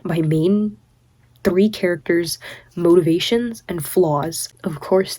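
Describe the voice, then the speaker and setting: Tired young woman, husky and mellow. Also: mid-range voice, no echo. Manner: rambling, self-deprecating, many "like"s. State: tired, recovering from a cold. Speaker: writing vlogger. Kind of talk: casual late-night vlog chat.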